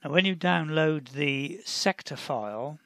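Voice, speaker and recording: A man presents a software tutorial at a very unhurried pace. His voice is creaky and gravelly, and he pauses mid-sentence as he gives deliberate, step-by-step instructions. The sound is dry, with little room in it. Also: a little high, expressive, dry recording.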